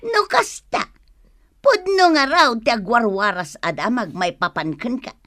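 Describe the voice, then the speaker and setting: A feisty woman shopper from a radio comedy drama. Her voice is very high and bright, with slow, lively, exclaiming delivery that challenges whoever she addresses. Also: dry recording. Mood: indignant, scolding. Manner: exclaiming, confrontational. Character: quarrelsome female customer and mother, indignant, scolding.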